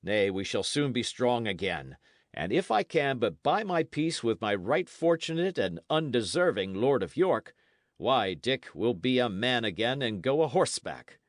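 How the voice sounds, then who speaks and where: A man voicing a forceful, boastful knight of old England in a storybook, with archaic, declamatory speech full of oaths. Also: mid-range voice, very animated, a little slow, dry recording, clean studio sound. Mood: hearty, confiding. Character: forceful, vengeful old-time English knight, boastful and commanding.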